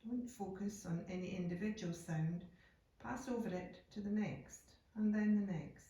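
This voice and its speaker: A woman guiding a yoga nidra meditation in a calm, unhurried, soothing way, leaving deliberate pauses between phrases. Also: medium pitch. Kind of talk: slow guided meditation narration. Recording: echoey room, distant from microphone.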